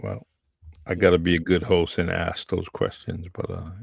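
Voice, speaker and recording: A man hosting a podcast, talking in a relaxed way with many pauses and fillers. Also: low voice, gravelly, husky, no echo, muffled recording. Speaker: podcast host. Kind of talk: casual conversation.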